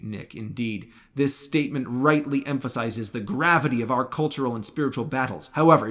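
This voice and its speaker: A man narrating a scripted religious commentary in a formal, measured way with precise enunciation. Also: mid-range voice. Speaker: video essayist.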